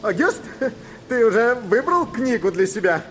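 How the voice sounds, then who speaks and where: A man's voice: high voice, very animated, moderate pace, background noise. A man playing a frail, dying nobleman. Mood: cheerful. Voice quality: gravelly, laughing fitfully. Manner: swings from giggling to mournful. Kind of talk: radio play acting.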